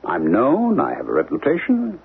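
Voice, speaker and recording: A man's voice: medium pitch, huge pitch swings, normal speed, breathy edge, full character voice. A man acting in a 1970s radio drama as an intense, defensive husband who earnestly believes he must save the world. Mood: defensive, indignant. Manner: insistent, earnest. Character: married man, obsessed would-be saviour of the world, defensive, intense. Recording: lo-fi 1970s radio broadcast, noisy.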